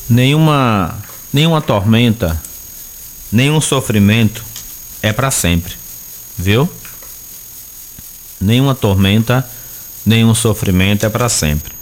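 A lowish male voice, speaking as a radio host. He talks in a calm, reassuring way and often blesses his listeners. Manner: warm, reassuring, religious blessings. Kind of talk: call-in radio show.

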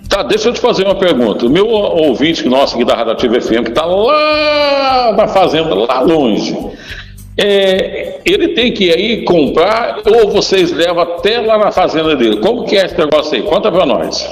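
High, lively man hosting live radio. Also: normal speed. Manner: teasing, playful questions. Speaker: radio host. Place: store, on location. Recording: echoey radio broadcast with music.

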